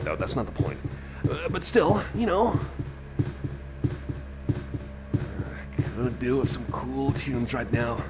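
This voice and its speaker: Man playing a rambling, anxious cyborg buddy, lively pitch. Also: close to an everyday voice. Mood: rambling, wistful. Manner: rambling, hesitant, repeats himself. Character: friendly, anxious, rambling male cyborg companion. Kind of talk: ASMR roleplay, casual chat to the listener.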